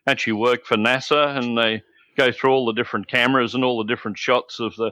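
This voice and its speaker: A man's voice: mid-range voice, steady tone, quick pace, sharp tone, dry recording. A man from South Africa with an Australian accent, chatting on a podcast in a dry, joking way. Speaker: podcast guest. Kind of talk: casual chat.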